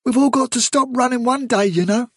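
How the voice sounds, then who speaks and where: Guy doing a gentle rabbit buddy, high and soft, quick and caring, dry close sound. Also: breathy edge, no room sound, exaggerated voice. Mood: soothing. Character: kindly young male rabbit, gentle and steady.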